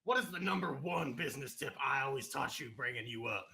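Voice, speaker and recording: A man playing a gruff older father who runs a cigarette business, coughing and blustering. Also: medium pitch, lively intonation, brisk, bright, forceful tone, a little hiss or hum.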